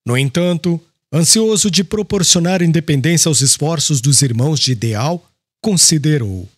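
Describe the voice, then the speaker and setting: A man reading scripted literary audiobook narration in a formal, expressive manner. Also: a little high, normal speed, husky, no echo, studio quality.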